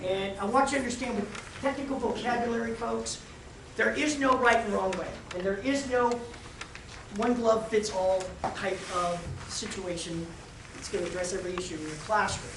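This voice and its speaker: Slightly raspy woman presenter, roomy and distant. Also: mid-range voice, moderate pace. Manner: joking, addresses the group directly. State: unwell, a little raspy. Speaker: training presenter. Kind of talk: workshop lecture. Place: school meeting room. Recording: roomy, distant mic, clear noise.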